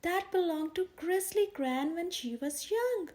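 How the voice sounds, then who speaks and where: A motherly storybook bear, read by a woman, kindly and proud. Her high, softly toned voice rises and falls freely as she encourages her family. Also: lively intonation, a little slow. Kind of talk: children's storybook character voice.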